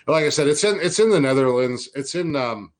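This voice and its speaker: A man's voice: medium pitch, lively intonation, brisk. A man co-hosting a podcast, rambling in blunt, casual talk and swearing a lot. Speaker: podcast co-host. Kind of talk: casual profane banter.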